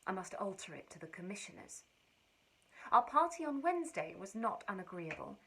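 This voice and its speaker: A woman giving an expressive, wry and precise reading of a period letter. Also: medium pitch, normal speed, a little hiss or hum, rough recording. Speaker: reader.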